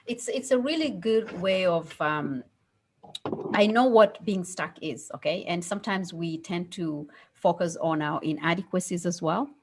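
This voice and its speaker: A woman's voice: on the low side, unhurried, slight background noise. Earnest woman with a Somali accent. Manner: earnest, candid storytelling with frequent "okay?". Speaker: radio co-host. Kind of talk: casual radio chat.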